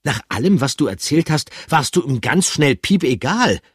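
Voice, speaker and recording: A man's voice: mid-range voice, sing-song, moderate pace, husky, no echo, studio quality, pitched above the performer's own voice. A man voicing a blunt, scolding small male animal in a children's story. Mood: stern.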